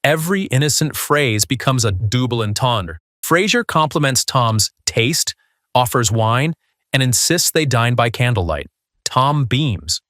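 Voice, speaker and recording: A man's voice: medium pitch, lively intonation, measured pace, a bit bright, dry recording, studio quality. A man hosting a video countdown, reading a scripted narration.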